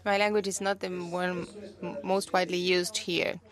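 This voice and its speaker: A woman's voice: lowish voice, little pitch movement, normal speed. A woman interpreting live into English, rephrasing on the fly with small restarts.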